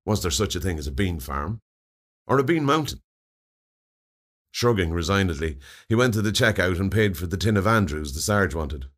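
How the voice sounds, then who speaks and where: A man with an Irish accent reading a comic short story aloud in an expressive, wry way. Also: deep voice, moderate pace. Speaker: story reader.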